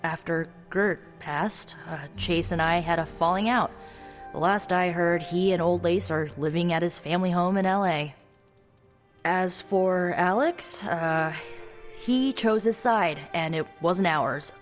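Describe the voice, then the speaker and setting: Woman doing young game sorceress, lowish voice, wry n guarded, bit halting, music under it. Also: lightly acted. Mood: subdued, regretful. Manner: wry, hesitant, halting asides. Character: young woman blood-magic spellcaster, wry, guarded ex-runaway hero. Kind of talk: video game character dialogue, conversational. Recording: long reverb, music underneath.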